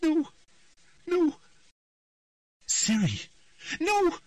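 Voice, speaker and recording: A man's voice: high voice, measured pace, slightly rough, no echo, clean studio sound, far from the mic, pitched above the performer's own voice. A man voicing a frightened young girl in a trance, speaking in a shaky, pleading voice.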